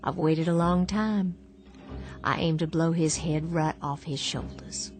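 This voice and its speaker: A woman playing a bitter widow holding a shotgun, speaking low and grim in a radio drama. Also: measured pace. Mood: menacing. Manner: low, grim and threatening, breaking into panic. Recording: drama with music underneath.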